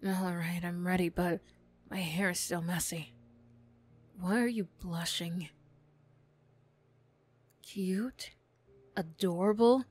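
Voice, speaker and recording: A woman's voice: lowish voice, fairly even intonation, slow pace, pauses often, darkish tone, husky. A woman acting a flustered, defensive young former bully in an ASMR roleplay, stammering, bashful and snappy.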